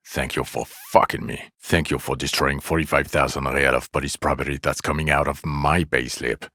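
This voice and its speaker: An actor playing an exasperated, foul-mouthed police partner in a video game, world-weary, sarcastic and contemptuous in a gravelly voice. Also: male voice, pushed and bright, no room sound, studio quality, full character voice. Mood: bitter mockery. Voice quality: gravelly, low. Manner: sardonic, weary, contemptuous, deliberate scolding. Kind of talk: video game character voice acting.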